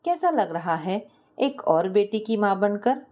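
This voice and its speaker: A woman's voice: mid-range voice, big pitch swings, a little slow, dull audio. A woman storyteller voicing a good-humoured middle-aged husband with a playful, teasing manner.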